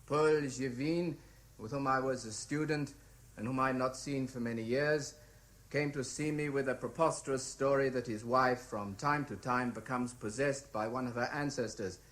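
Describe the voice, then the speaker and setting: An actor playing a formal, officious court clerk reading documents aloud in a radio drama. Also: male voice, medium pitch, steady tone, moderate pace, a bit bright, natural voice. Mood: measured. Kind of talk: 1970s radio drama acting, formal read-out.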